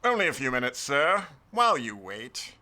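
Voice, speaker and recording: An actor playing a courteous, deferential locksmith in a 1940s-set noir radio play, speaking formally to customers. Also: male voice, medium pitch, big pitch swings, moderate pace, sharp tone. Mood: polite, deferential. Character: polite, deferential locksmith shopkeeper.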